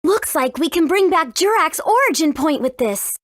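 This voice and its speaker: A woman's voice: very high-pitched, animated, moderate pace, a bit bright, dry recording, clean studio sound. A woman voicing a confident, determined young heroine in a video game. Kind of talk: video game character acting.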